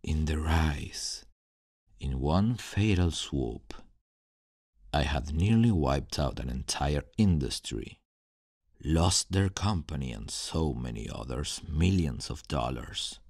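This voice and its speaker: A man playing an embittered scientist turned supervillain in a dramatic ASMR roleplay monologue, telling his story in a brooding, deliberate, theatrical way. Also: very deep voice, lively intonation, darkish tone, no room sound, clean studio sound, exaggerated voice. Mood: cold, bitter.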